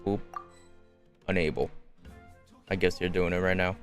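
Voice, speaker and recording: Male game streamer: low pitch, laid-back offhand commentary, clear music behind him. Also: steady tone, normal speed. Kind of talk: casual gameplay commentary.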